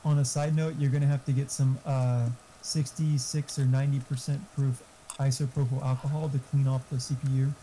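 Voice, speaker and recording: A man with a soft, mellow voice at an average pitch talks casually in a gaming chat. He explains things in a rambling, sweary way, with some noise on the recording. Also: level tone, brisk, rough recording. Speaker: gamer friend giving tech advice. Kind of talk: casual chat during a game stream.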